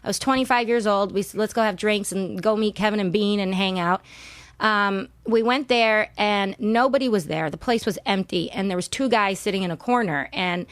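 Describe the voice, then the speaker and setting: A woman's voice: medium pitch, moderate pace, no echo. A middle-aged woman, guesting on a podcast and telling rambling stories with frequent laughs. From Southern California. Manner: warm, laughing often, rambling storytelling. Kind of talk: casual interview chat.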